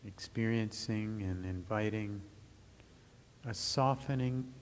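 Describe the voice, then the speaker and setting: Slow, low-voiced man guiding meditation. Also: a little hiss or hum. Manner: gentle, measured instruction with long pauses. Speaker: meditation teacher. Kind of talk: guided meditation.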